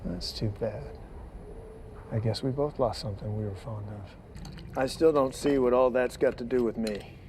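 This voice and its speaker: An actor playing a weary, wry small-town marshal in a sci-fi western, with a laconic folksy drawl. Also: male voice, mid-range voice, moderate pace, a little mellow, a little hiss or hum, lightly acted. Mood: wry, guarded. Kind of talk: screen sci-fi western drama acting.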